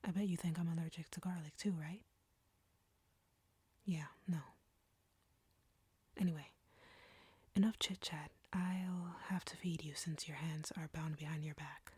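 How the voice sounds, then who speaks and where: Female vampire captor, acted by a woman, audio roleplay: low, close and dry, whispery, slow, smug and flirtatious. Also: steady tone, a little mellow, no room sound, heavily acted. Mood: smug teasing. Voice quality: breathy, whispery. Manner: slow, taunting, condescending.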